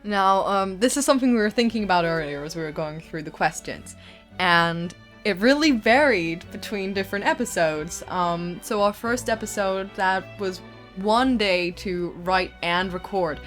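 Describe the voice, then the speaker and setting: A woman's voice: medium pitch, moderate pace, a bit bright. A young woman chatting casually as she answers questions as co-creator of a fan parody series. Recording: music playing behind the voice.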